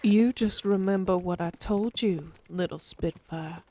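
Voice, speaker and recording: A woman's voice: fairly low pitch, measured pace, mellow. A woman playing a coolly menacing outlaw circus ringmaster in an Old West audio drama, mock-polite and theatrical. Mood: smug farewell.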